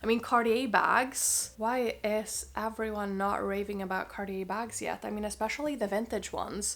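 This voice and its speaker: Woman fashion vlogger, mid-pitched and slightly stuffed up with a cold; conversational, emphatic, casual review delivery. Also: a bit bright. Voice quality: slightly nasal. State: has a cold. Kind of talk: casual chatty review to camera.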